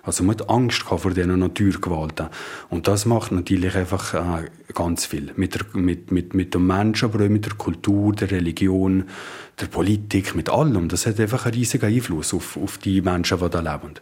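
A man's voice: low-pitched, fairly quick, darkish tone, slightly rough. A man, explaining informally as an interviewed musician.

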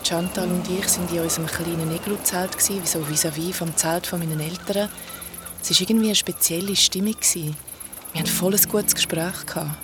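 An actress playing a young female ghost in a radio play, talking in casual slang and halting, pensive recollection. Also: lowish voice, fairly even intonation, music in the background, lightly acted. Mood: wistful recollection. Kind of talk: radio play dialogue and storytelling.

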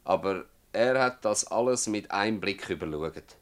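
An actor playing a stubborn old farmer in a radio drama, speaking emphatically and haltingly with a Swiss German accent. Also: male voice, on the low side, animated, lightly acted. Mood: defensive, earnest. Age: older. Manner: emphatic, halting, earthy testimony. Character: gruff old Swiss farmer, stubborn, defensive, worn by toil. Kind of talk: dialect radio drama acting.

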